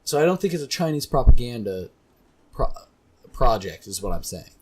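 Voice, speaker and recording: A young man hosting a podcast with friends, rambling through a casual rant. His pitch sits in the ordinary middle for a man, and he drifts from point to point in loose, joking talk. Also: very animated, moderate pace, a little mellow, slight background noise. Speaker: podcast host. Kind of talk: casual banter.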